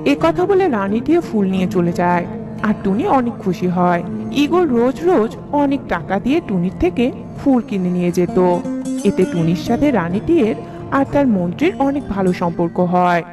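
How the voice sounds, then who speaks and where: Animated woman storyteller with lively intonation. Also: mid-range voice, moderate pace. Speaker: narrator. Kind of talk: scripted children's story narration. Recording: music behind the voice.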